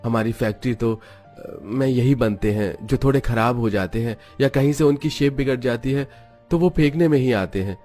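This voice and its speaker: A man's voice: medium pitch, normal speed, warm tone, faint music behind, close to an everyday voice. A man voicing a mild working-class village husband in a cartoon story. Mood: agreeable, curious.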